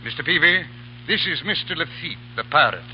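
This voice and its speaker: An actor playing a blunt, fiery general in a 1930s radio drama, talking in a gruff, commanding way. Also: male voice, fairly high pitch, animated, fairly quick, soft background music, lightly acted. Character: stern older male general, blunt and fiery. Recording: muffled old radio broadcast with hiss.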